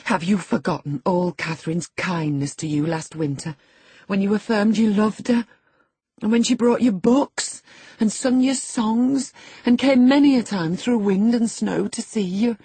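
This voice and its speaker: A woman's voice: low-pitched, normal speed, husky, no echo. A woman voicing an indignant, loyal elderly woman servant who scolds with emphatic feeling, in an audiobook. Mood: reproachful.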